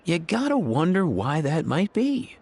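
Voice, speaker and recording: Cheeky, exasperated young guy, animated. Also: fairly high pitch, measured pace, slight background noise, exaggerated voice. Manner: sarcastic, slangy. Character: exasperated young man, cheeky, slangy, overworked companion. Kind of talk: video game voice acting.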